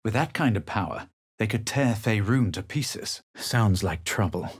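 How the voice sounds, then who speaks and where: Game hero, male: haughty young adventurer, low and slightly husky, measured aloof delivery, dry close recording. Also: expressive, no echo. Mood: brooding. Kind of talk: video game character lines and storytelling narration.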